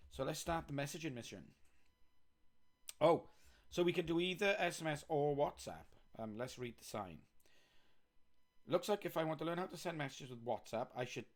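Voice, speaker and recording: A man's voice: fairly high pitch, moderate pace, pauses often. A man with a Welsh accent live-streaming a coding game, chatting casually as he thinks aloud and reads on-screen text.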